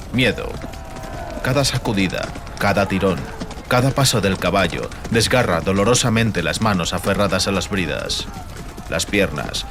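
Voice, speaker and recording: Deep-voiced man narrating dark fantasy over music. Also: vocal fry. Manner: solemn, measured, theatrical delivery. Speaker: audiobook narrator. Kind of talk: dramatic scripted fantasy audiobook narration. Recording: music bed under the voice.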